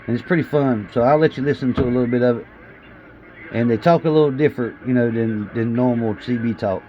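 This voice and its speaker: A man's voice: medium pitch, quick pace. Southern guy just chatting about his hobby, folksy, stumbles and repeats himself, kinda muffled audio with radio noise behind. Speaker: CB radio hobbyist and video host. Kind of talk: casual chat to camera.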